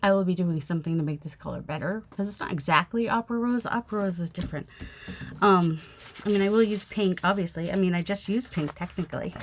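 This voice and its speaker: A woman's voice: lowish voice, quick pace. Chatty woman with a childlike voice. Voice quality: youthful, childlike voice. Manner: chatty, rambling, self-teasing asides. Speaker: mixed media artist. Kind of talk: casual crafting vlog narration. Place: home craft table. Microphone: overhead camera, hands only.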